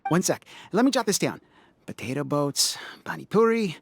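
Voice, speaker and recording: A performer voicing an obliging game food-stall vendor with a husky voice and friendly customer-service patter. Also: mid-range voice, very animated, moderate pace, no room sound, exaggerated voice. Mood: cheerful, obliging.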